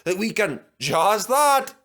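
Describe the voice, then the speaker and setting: A man's voice: sits high, sing-song, slow pace, fairly bright tone, voice pushed up, heavily acted. A man voicing mischievous twin steam engines in a children's story, with a Scottish accent and a sly, cheerful manner.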